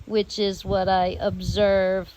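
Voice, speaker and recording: An American woman telling rambling personal stories in drawn-out, halting phrases with long pauses. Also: mid-range voice, flat delivery, darkish tone, rough recording. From United States. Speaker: storyteller host.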